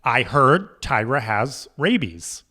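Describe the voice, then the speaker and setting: A man co-hosting a comedy podcast, chatting casually and dropping dry, deadpan one-liners. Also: mid-range voice, slow.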